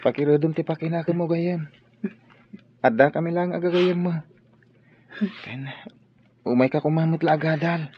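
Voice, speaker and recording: A man's voice: fairly high pitch, fairly even intonation, normal speed, pauses often, slightly rough. An actor playing a young male student who talks earnestly and encourages his friend in a radio drama. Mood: encouraging. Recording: dull radio drama audio.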